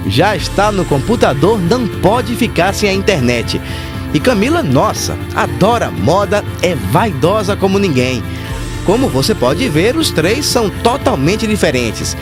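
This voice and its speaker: A man's voice: high voice, sing-song, measured pace, over music. A man hosting a televised lesson, narrating a script in an upbeat way and talking straight to the viewer. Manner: upbeat, addresses the viewer directly.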